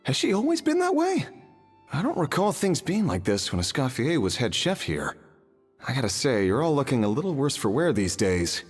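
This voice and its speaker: A man voicing an easygoing restaurant customer with a husky voice, relaxed and mildly awkward in a video game. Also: medium pitch, normal speed, in a large hall, soft background music.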